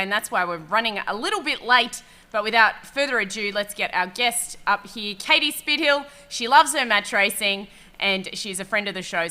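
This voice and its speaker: A woman's voice: medium pitch, pushed and bright. A woman hosting a chat show about sailing, upbeat and chatty, with an Australian accent. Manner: upbeat, chatty, laughs easily. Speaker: host. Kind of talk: casual chat and race commentary.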